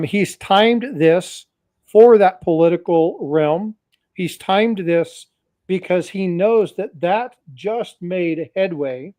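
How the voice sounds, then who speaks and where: A man's voice: high-pitched, darkish tone, no echo. A man hosting a livestream, talking casually and cautioning his audience, often repeating phrases and addressing them directly. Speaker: host. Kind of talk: livestream commentary.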